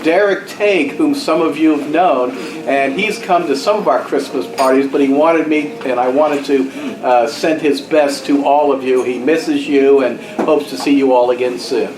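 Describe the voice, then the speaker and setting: A man's voice: mid-range voice, fairly even intonation, normal speed, hardly any pauses, distant mic. A man giving a brief informal announcement to fellow club members. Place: indoor luncheon room. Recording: echoey room, background noise.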